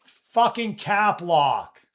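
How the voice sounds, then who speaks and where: A man streaming a live game session, chatting casually and telling a work story with swearing and mimicked voices. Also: very slow. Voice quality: deep voice. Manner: animated storytelling, swears, reads chat aloud. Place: office.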